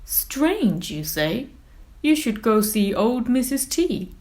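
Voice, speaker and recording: A woman's voice: fairly high pitch, big pitch swings, slow pace, a little mellow, voice pushed up. A woman voicing a huge, friendly blue whale in a children's storybook, with a hearty, reassuring manner. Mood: jolly, reassuring.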